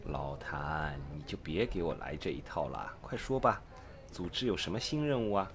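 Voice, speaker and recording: A man plays an impatient, eager underling in an amateur radio drama. His lowish voice swings widely in pitch, full of theatrical exclamations and chummy wheedling. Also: expressive, moderate pace, fairly bright tone. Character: eager, impatient male purchasing agent, chummy with his boss.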